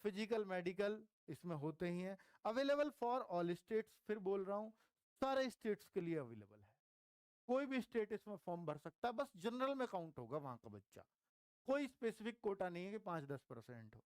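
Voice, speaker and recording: High-pitched man, coaching students. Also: sing-song, normal speed, a little mellow, smooth, no echo. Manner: conversational, repeats key points for emphasis. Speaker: exam coach teaching online. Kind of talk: informal explanatory lecture to students.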